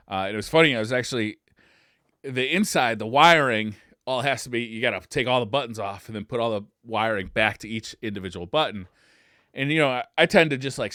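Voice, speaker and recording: A man's voice: fairly low pitch, bright, forceful tone, no room sound, clean studio sound. A middle-aged man co-hosting a podcast, telling stories in a casual, self-deprecating and readily amused way. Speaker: podcast co-host. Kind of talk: casual chat and storytelling.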